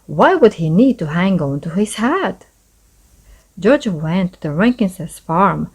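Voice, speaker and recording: A woman reading a children's story aloud in a measured, expressive storytelling manner. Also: on the low side, slightly rough. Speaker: storybook reader. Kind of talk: children's read-aloud narration.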